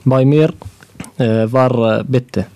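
Mellow, hesitant man from Syria. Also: mid-range voice, slow pace. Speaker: youth radio participant. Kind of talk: casual recollection.

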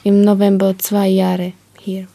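A woman's voice: mid-range voice, monotone, unhurried, gravelly, dark tone. A twenty-year-old woman from Slovakia speaking casually as a youth radio participant. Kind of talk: casual self-introduction.

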